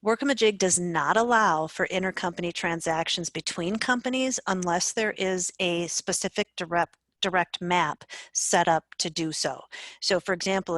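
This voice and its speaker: Low female voice, dry close sound, measured, explanatory, software training narration. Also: dry recording. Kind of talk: instructional screencast narration.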